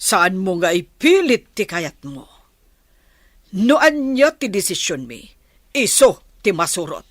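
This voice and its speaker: Casting: woman as bossy Filipino aunt for radio drama, hard-hearted, commanding; slow delivery, mid pitch, dry sound. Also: big pitch swings, no echo. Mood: stern, scolding. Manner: stern, commanding. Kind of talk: Ilocano radio drama acting.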